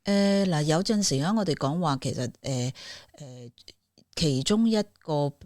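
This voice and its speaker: Woman, Hong Kong Cantonese accent, low voice, dry close sound, clinical psychologist in conversation, English words mixed in. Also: lively intonation, measured pace, darkish tone, no echo. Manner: mixes English terms into Cantonese. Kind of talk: conversational expert explanation on a radio talk.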